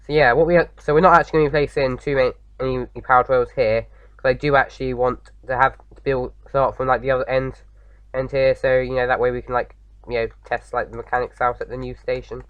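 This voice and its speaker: A man with a slight sore throat, slightly croaky, chatting casually as he hosts a game playthrough, rambling with lots of fillers. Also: medium pitch, little pitch movement, fast, a bit bright, no room sound, highs cut off. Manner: rambling, many fillers and restarts. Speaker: gaming video host. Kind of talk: casual let's-play commentary.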